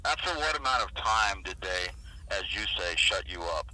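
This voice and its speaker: A man's voice: low voice, lively intonation, normal speed, a crowd in the background. A man speaking as a police sergeant on a phone call, firm and blunt, cutting in to keep things moving. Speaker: police internal affairs sergeant. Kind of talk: official phone conversation. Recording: noisy telephone line.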